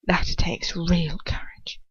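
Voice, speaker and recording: A woman's voice: lowish voice, level tone, slow pace, a bit bright, breathy edge, dry recording, in a whisper, highs cut off, exaggerated voice. A woman reading the lines of a smug, condescending aristocratic male wizard who lectures about courage. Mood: hushed.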